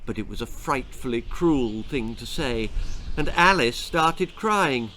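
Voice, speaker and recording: A man's voice: medium pitch, animated, slow, bright, forceful tone, slight background noise, rough recording. A British man reading a children's story as its author, with a jolly, mock-earnest storytelling manner. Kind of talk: animated scripted storybook narration.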